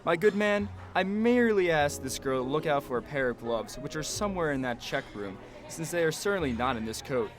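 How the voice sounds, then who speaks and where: A man's voice: a little high, expressive, fairly quick, hardly any pauses, natural voice. Man acting wry father, music behind. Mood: indignant. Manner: articulate, wry, formal phrasing. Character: middle-aged British father, wistful, proud, easily indignant. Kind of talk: radio drama acting, letter narration.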